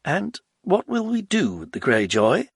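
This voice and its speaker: The storyteller lends his voice to a composed young nobleman who answers in a lifted, even tone that is a little husky and gravelly. It is recorded close and dry. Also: high voice, a little slow, dry recording, voice pushed up, heavily acted. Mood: calm. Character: calm, young male nobleman companion.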